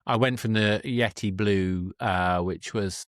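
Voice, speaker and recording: A man with a fairly low voice hosts a conversational interview. He talks reflectively and takes his time exploring a point, recorded dry on a dynamic mic with almost no room sound. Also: slow, no echo. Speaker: podcast host and stage presenter. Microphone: dynamic microphone at a desk.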